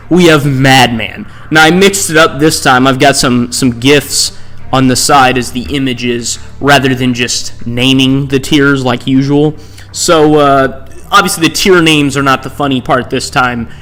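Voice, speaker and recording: A man's voice: mid-range voice, a bit bright. A man giving casual, unscripted commentary as a video host, rambling off on digressions and often checking in with a questioning tag. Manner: rambling, digressive, often tagging statements with "right?". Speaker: host of tier-list videos.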